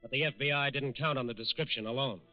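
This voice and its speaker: Male narrator for 1940s crime radio, deliberate and dramatic, dull vintage sound with clear music. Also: medium pitch. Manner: deliberate, dramatic repeated phrases. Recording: band-limited 1940s radio recording.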